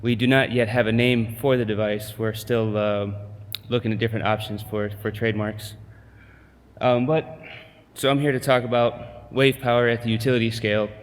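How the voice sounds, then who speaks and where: A man in middle age giving a conference pitch, hesitant with many fillers and restarts. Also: little pitch movement, normal speed, big-room sound. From United States. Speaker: company presenter.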